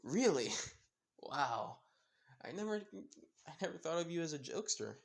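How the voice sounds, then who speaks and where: A man's voice: a little high, big pitch swings, normal speed, gravelly, pitched above the performer's own voice. A man voicing a teenage girl on a date, sighing and breathless from laughing. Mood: surprised. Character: exasperated teen girl on a date.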